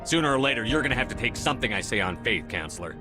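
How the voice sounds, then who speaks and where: An actor playing a blunt, steady military commander in a video game, firm and clipped, with music behind him. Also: male voice, mid-range voice, very fast, natural voice. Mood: firm, insistent.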